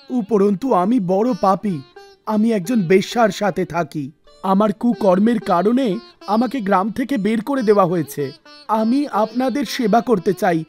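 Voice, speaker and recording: A humble, repentant sinner, a man acted out by a woman in a cartoon fable, pleading in a lowered voice. Music plays underneath. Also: a little mellow, lightly acted. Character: repentant male sinner, humble, desperate devoted father.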